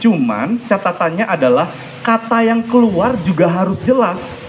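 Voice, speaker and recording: High-pitched man coaching with emphasis. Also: lively intonation, moderate pace, slight background noise. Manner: explanatory, emphatic, repeats key points. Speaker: storytelling coach and judge giving feedback. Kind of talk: spoken feedback with demonstrations.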